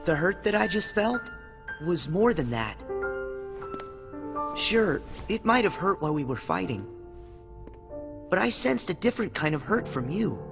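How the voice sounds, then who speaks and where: A man's voice: high voice, big pitch swings, a little slow, gravelly, pauses often, full character voice. A man playing an earnest, kindhearted teenage boy hero in a video game cutscene, sincere and resolute. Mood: gentle, thoughtful. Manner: sincere, resolute, youthful delivery. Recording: music behind the voice.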